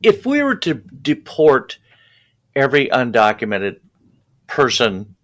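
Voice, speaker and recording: A man hosting a podcast, talking in an earnest, halting way and often repeating his words. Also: mid-range voice, wildly swooping pitch, a bit bright. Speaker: podcast host. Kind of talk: conversational interview.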